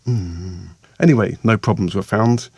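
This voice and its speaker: Croaky male vlogger, lowish voice, dry close sound, rambling casual narration. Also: a little slow, darkish tone, no room sound. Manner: chatty, rambling, self-mocking. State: hoarse, croaky voice from a sore throat. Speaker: vlogger and meditation maker.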